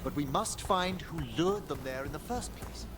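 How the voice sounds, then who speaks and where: An actor playing a courtly, curious gentleman necromancer scholar in a video game, with refined, formal, articulate speech. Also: fairly high pitch, lively intonation, moderate pace, very noisy, natural voice. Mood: grave, explaining. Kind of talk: scripted video game dialogue. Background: game music.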